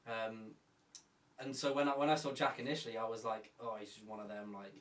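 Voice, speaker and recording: A man's voice: on the low side, flat delivery, fairly quick, a bit bright, far from the mic. A young man co-hosting a casual podcast, chatty and self-mocking, rambling with lots of fillers. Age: young adult. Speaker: podcast co-host. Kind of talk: casual chat. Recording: roomy, from off-mic.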